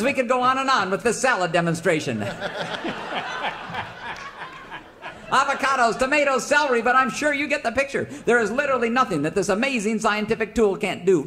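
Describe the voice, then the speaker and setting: A brash, folksy huckster played by a man sells hard in a comic old-time radio ad. His voice is high and forceful, full of showy emphasis, over noticeable background noise. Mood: gleeful hard sell. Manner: exuberant hard-sell delivery with emphatic flourishes. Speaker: radio commercial pitchman.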